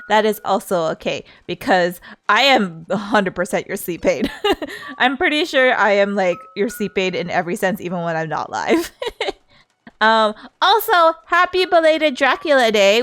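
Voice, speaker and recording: A woman's voice: mid-range voice, lively intonation, moderate pace, a bit bright, faint music behind. A woman livestreamer chatting casually in a playful, giggly, rambling way. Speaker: streamer.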